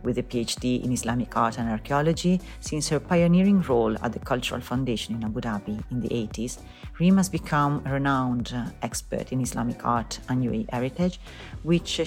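A woman's voice: very deep voice, expressive, brisk, darkish tone, faint music behind. A woman hosting a podcast, delivering a formal scripted introduction and then asking interview questions. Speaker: podcast host.

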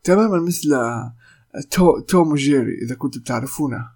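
A young man from Palestine, a voice actor, hosting a solo podcast in careful formal speech, pausing often with fillers and restarts. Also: on the high side, expressive, creaky, a little mellow. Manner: hesitant, many "uh" fillers and restarts. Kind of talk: solo podcast talk in Modern Standard Arabic.

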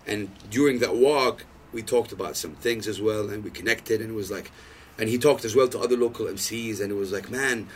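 A man's voice: on the low side, a little fast, a little hiss or hum, rough recording. A man in his thirties, a podcast guest, telling stories in casual chat and acting out the lines he quotes. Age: 30s. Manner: animated storytelling, acts out quoted lines.

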